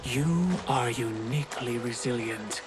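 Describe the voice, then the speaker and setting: Man acting a slow-spoken mysterious manipulative deliverer. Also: mid-range voice, music in the background, close to an everyday voice. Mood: grand, persuasive. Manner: formal, deliberate, persuasive. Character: mysterious manipulative deliverer, calmly grand and persuasive. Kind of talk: cinematic video game acting.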